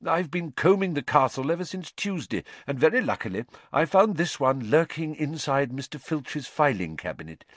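A man voicing a gentle, tired middle-aged teacher who explains things patiently. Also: on the high side, sing-song, normal speed, hardly any pauses, no room sound, pitched above the performer's own voice.

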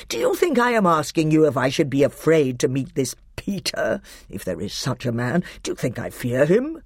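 A furious, proud king who growls and roars, his voice leaping upward as he rages, put on by a man reading the story. The pitch swings widely with each outburst. The sound is dry and close, with no room around it. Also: no echo. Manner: growling, roaring, blustering. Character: angry proud middle-aged male usurper king.

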